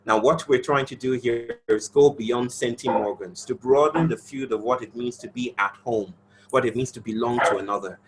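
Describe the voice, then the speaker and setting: A man's voice: medium pitch, fairly even intonation, normal speed, background noise. A man hosting an online session, introducing his guests in effusive, affectionate bursts over a video call. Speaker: session host.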